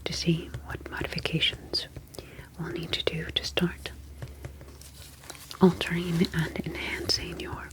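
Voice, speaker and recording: A woman voicing a calm, clinical ocean alien scientist in an ASMR sci-fi roleplay, whispering breathily with formal, measured phrasing. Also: lowish voice, close to an everyday voice, rough recording. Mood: soothing, clinical.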